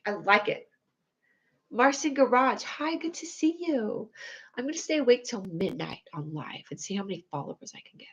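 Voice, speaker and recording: A young woman livestreaming to her viewers in a loose, rambling chat. Her voice sits a little high and has a whiny, needy edge. She talks in a scatterbrained way, puts herself down and breaks into excited squeals now and then. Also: moderate pace, poor audio.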